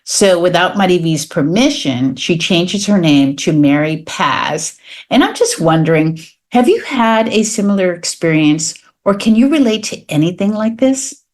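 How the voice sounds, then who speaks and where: A woman hosting a podcast, asking measured, probing questions about her guest's experience. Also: on the low side, big pitch swings. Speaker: podcast host. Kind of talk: interview questions.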